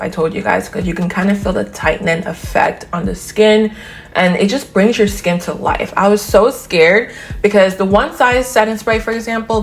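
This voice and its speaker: A woman doing a casual beauty product review at home, chatty and talking straight to her viewers, her voice a little raspy and tired. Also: lowish voice. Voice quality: slightly raspy. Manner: chatty, addresses viewers as y'all. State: tired after a nap. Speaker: beauty vlogger. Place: home room by a window.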